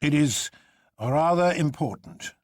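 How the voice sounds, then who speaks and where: Audiobook role, performed by a man: commanding, exasperated male aristocrat, formal and pressing, raised pitch, dry close sound. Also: animated, takes it slowly, darkish tone, no echo. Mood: strained patience. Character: imperious, exasperated nobleman marquis, male, urgently insistent. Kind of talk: dramatised audiobook character voice.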